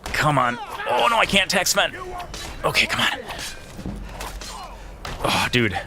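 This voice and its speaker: A man's voice: sits high, sing-song, measured pace, vocal fry, music in the background. A man in his thirties giving casual, rambling gameplay commentary in slangy, exclaiming speech. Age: 30s. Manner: rambling, slangy, often says "dude". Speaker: gaming video creator and streamer.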